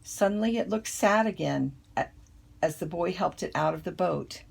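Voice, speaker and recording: An older woman, a grandmother with a husky, creaky voice, reading a children's picture book aloud. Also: fairly low pitch, normal speed. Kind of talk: children's storybook read-aloud.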